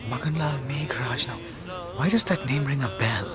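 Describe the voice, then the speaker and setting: An actor playing a cool, sceptical detective with an Indian accent, asking probing questions in a radio drama. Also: male voice, mid-range voice, normal speed, over loud music, close to an everyday voice. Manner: dry, probing.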